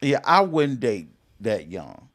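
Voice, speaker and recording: A pastor in his fifties co-hosting a casual talk show, emphatic and quick to repeat himself. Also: mid-range voice, big pitch swings, fairly bright tone. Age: 50s. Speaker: pastor and podcast co-host. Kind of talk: casual chat.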